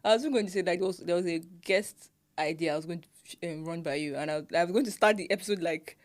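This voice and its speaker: Lively Nigerian woman, low voice. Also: fast. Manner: animated, laughs often. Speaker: podcast co-host. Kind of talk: casual chat.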